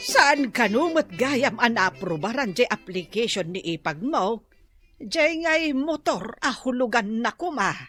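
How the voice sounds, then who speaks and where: A woman's voice: fairly high pitch, animated, a little slow, fairly bright tone, faint music behind. A woman playing a nosy, chatty older neighbor in a comedic radio drama, drawling and exclamatory. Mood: probing.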